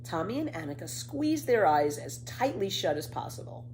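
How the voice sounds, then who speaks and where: A woman reading a children's story aloud in an expressive, careful storytelling manner. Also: mid-range voice, normal speed, slight background noise.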